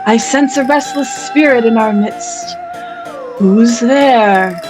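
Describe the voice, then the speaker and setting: A woman with a high voice hosts an online author event. She speaks in a chatty, playful manner with a touch of mock drama. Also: very animated, slow pace, over music. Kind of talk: chatty, scripted event hosting. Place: indoors, on a video call.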